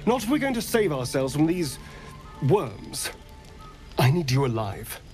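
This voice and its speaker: Suave slow-talking vampire guy from a video game, sly n needy, super dramatic, voice swings up and down. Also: a little high, very animated, darkish tone, faint music behind. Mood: earnest, pleading. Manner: theatrical, dramatic delivery with drawn-out phrases. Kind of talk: video game character acting.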